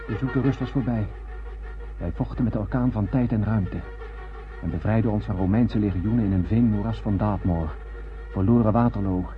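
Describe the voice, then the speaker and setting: An actor playing a haunted survivor who narrates a doomed space voyage in a radio drama, grave and literary. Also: male voice, fairly low pitch, normal speed, music in the background, close to an everyday voice. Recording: dull, muffled old radio recording.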